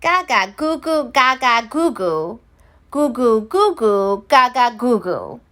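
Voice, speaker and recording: A woman's voice: on the high side, big pitch swings, slow pace, a bit bright, full character voice. A woman voicing a sweet, helpless baby boy in babbling baby talk for a storybook.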